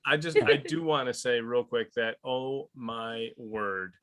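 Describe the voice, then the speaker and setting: Bright-voiced man in casual chat. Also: medium pitch, takes it slowly. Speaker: podcast co-host.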